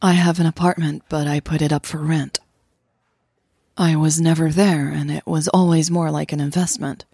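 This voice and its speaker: Woman narrator playing shy easygoing woman, audio romance, husky, soft, hesitant, dry sound. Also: low-pitched, level tone, moderate pace, no room sound, voice pushed down. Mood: shy, self-mocking. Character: shyly joking, easygoing woman in love, Emily.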